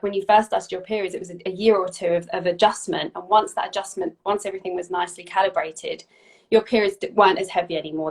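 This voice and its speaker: A woman's voice: on the low side, breathy edge. Woman, 40s, health practitioner; casual, explanatory, stop-start delivery. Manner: explanatory, frequent restarts and repeats. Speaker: functional medicine practitioner. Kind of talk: casual expert chat.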